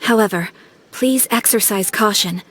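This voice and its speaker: A woman playing a formal, composed receptionist who briefs an adventurer in a video game, polite and measured. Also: mid-range voice, a bit bright, breathy edge. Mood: courteous. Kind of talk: video game dialogue acting.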